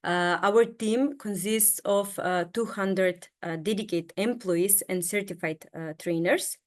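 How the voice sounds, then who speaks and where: A woman introducing a webinar as a sales specialist over a video call, steady but with many filler sounds. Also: medium pitch, measured pace.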